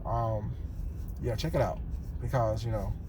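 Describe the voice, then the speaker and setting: A man recording a casual vlog on his way to work, with a groggy morning voice, rambling in a relaxed way and often asking "you know what I'm saying". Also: fairly low pitch, unhurried, low-quality recording. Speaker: vlogger. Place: car on the way to work. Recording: car and road noise behind.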